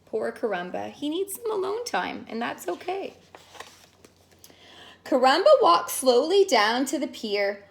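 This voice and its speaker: A woman with a high, very lively voice reads a children's story aloud. She takes her time, stretches out words for effect and chats to her young listeners as a teacher would. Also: creaky, a little hiss or hum. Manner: expressive, sing-song, exclaiming, drawn-out words. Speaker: teacher reading a picture book aloud.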